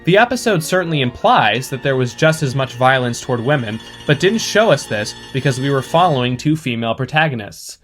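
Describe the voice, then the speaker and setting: A man with a medium-pitched voice delivers a prepared opinion piece. His delivery is measured and articulate, like a spoken essay. Faint music plays behind him. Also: lively intonation. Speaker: listener giving feedback to a podcast.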